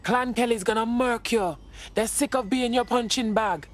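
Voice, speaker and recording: Actress as a streetwise resistance woman, higher-pitched. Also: moderate pace, lightly acted. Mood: blunt, businesslike. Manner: slangy, blunt, urgent. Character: streetwise, blunt female underground resistance operative. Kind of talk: video game cutscene acting.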